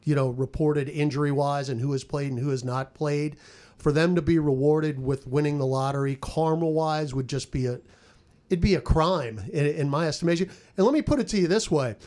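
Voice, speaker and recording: Male sports radio commentator, slightly higher-pitched, opinionated and emphatic. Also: fairly quick, darkish tone. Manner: emphatic, repeating words for stress.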